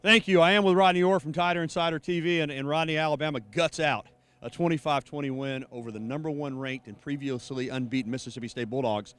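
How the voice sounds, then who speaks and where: A man's voice: fairly high pitch, quick pace, a bit bright, clear, resonant tone, no echo. An American man reporting live on sports and putting questions to an analyst.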